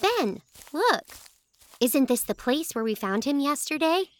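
A woman playing a curious, imaginative young girl in a family audio drama, teasing and excitable. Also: sits high, a little slow.

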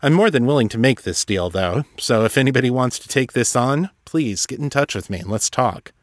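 A man hosting a solo podcast, reading and answering listener letters in an articulate, measured, explanatory way. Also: mid-range voice, animated, background noise. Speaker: podcast host and novelist.

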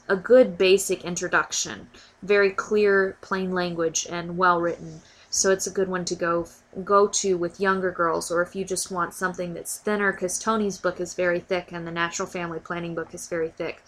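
A woman's voice: fairly low pitch, poor audio. A woman hosting a podcast, talking casually and a little stuffy from a cold, often repeating words as she thinks. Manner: warm, informal, repeats words while thinking. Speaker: podcast host. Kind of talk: casual educational podcast talk.

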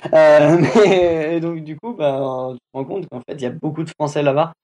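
Rambling French man, mellow voice. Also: on the high side, steady tone, moderate pace. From France. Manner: rambling with many restarts and fillers. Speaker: guest reporting on a trip. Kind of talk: casual podcast chat.

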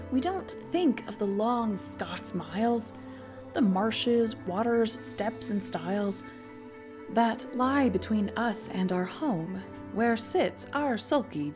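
A woman podcast host giving measured scripted narration and reading a poem aloud. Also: medium pitch.

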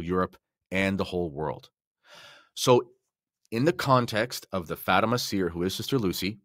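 Male podcast speaker, low pitch, dry close sound, conversational but emphatic explainer. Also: fairly bright tone, no room sound. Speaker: podcast guest discussing religion. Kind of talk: casual explanatory talk with slides and notes.

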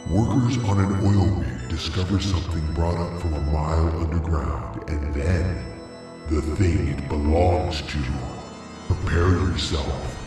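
A man's voice: rumbling low, measured pace. A man hosting a podcast, reading a scripted spooky introduction. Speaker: podcast host. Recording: heavily echoing, distant voice with music. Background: music.

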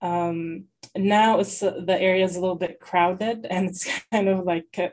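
A woman's voice: lowish voice, fairly even intonation, normal speed, darkish tone. A young woman raised in Lebanon, talking as a guest in a casual interview, hesitant and full of fillers. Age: young adult.

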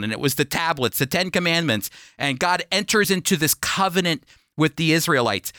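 A man with a somewhat high voice hosts a Bible teaching program. He explains scripture in emphatic, deliberate phrases that rise and fall. The sound is close and very dry, as in a studio. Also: fairly bright tone, no room sound. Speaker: radio Bible teacher and host. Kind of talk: expository Bible teaching for broadcast. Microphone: close studio microphone, dry.

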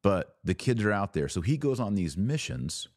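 A man with a very low voice reviews a film on his podcast in an earnest monologue. He leans hard on the words he wants to stress. The sound is dry and close to the mic. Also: sing-song, normal speed, darkish tone, no room sound. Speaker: podcast host.

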